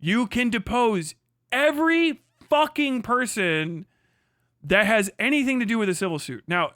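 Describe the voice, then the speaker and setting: A man hosts a loose commentary stream and explains at length, swearing and wandering off on tangents. His voice is bright, pitched a little high, and recorded close and dry. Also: no echo. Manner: profane, animated, rambling explanation. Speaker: streamer host.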